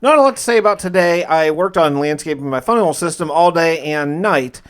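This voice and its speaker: A man's voice: a little high, expressive, a little fast, clean tone. A man narrating his own survival game playthrough in a conversational, journal-like storytelling way, with light roleplay. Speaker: gaming video creator narrating a survival challenge.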